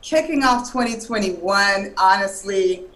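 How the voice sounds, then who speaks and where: A woman's voice: medium pitch, a little slow, fairly bright tone. A woman from Miami answering as an interview guest in casual conversation, earnest and emphatic, often repeating and listing for emphasis. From Miami, Florida.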